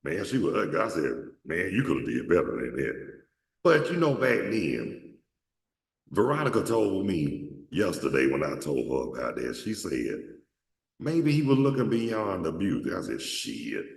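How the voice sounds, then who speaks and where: A man's voice: sing-song, measured pace, vocal fry. A man from Atlanta, hosting a show and telling stories in a rambling, profane way with lots of restarts. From Atlanta, Georgia. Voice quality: deep voice. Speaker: show host. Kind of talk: casual storytelling monologue. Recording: echoey room, mic at a distance.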